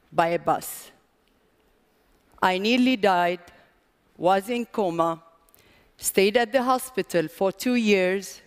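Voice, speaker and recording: A middle-aged woman with a Lebanese accent giving a prepared motivational speech in measured, emphatic phrases with pauses. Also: a little high. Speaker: public speaker, marathon organizer. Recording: long hall reverberation.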